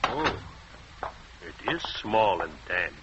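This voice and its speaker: An actor playing a dutiful, uneasy army lieutenant in a 1940s radio drama. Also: male voice, fairly low pitch, big pitch swings, slow pace. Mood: wary, hushed. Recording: muffled, very noisy old radio recording.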